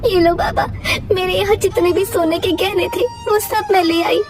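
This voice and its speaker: A woman's voice: very high-pitched, fairly even intonation, normal speed, music in the background, voice pushed up. A woman voicing a grieving, trusting village mother in a cartoon moral tale, tearful and imploring. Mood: desperate, devoted.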